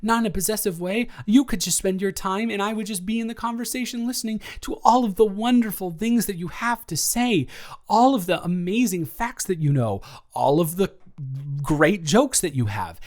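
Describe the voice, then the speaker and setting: A man's voice: high-pitched, animated, moderate pace, darkish tone. A young man playing a lovestruck roommate in an intimate audio roleplay, earnest, rambling and stammering as he nervously confesses his feelings. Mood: tender, pleading. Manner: rambling, stammering, earnest, awkwardly self-deprecating.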